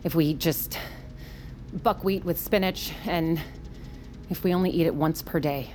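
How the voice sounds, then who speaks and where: A woman's voice: low voice, a little slow, darkish tone, faint music behind. An actress playing an earnest, anxious woman settler documenting a survival mission in a science fiction audio drama. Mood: worried.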